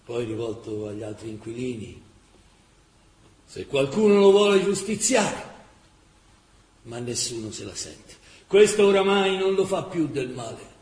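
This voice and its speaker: An actor playing an impassioned chronicler of a wartime city in a radio drama, speaking in a dramatic scripted style. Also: male voice, medium pitch, sing-song, unhurried, pauses often, darkish tone. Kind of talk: dramatic scripted historical narration. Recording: roomy echo, faint background noise.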